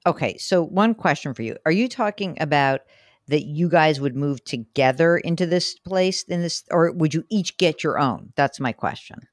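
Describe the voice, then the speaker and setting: A woman with a New York accent hosting a call-in advice show, brisk and chatty, asking quick follow-up questions. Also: sits low, lively intonation, dry recording, clean studio sound. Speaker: host.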